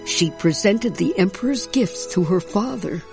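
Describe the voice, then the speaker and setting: A woman's voice: mid-range voice, animated, measured pace, vocal fry, darkish tone. A woman narrating a children's storybook as a scripted read-aloud. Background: music.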